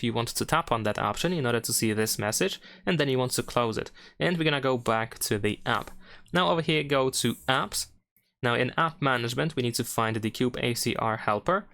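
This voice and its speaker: A man hosts a how-to walkthrough in a clean, smooth voice of medium pitch. He explains each step in turn without a script, adding small hedging asides as he goes. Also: fairly bright tone. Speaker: tutorial host. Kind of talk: unscripted how-to screen narration.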